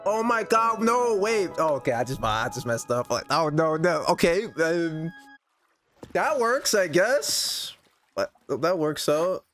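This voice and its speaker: Excitable high-pitched man reacting to a game. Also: takes it slowly. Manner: excitable, slangy exclamations. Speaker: gaming content creator reacting to a story quest. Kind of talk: casual live reaction commentary. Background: video game music.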